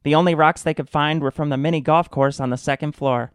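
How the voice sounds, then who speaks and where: A man as a smooth, deadpan epic narrator. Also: a little high, no echo, studio quality, lightly acted. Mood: solemn, deadpan. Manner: deadpan, measured. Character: solemn, deadpan male storyteller-announcer of a mock epic. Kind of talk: scripted comic radio drama narration.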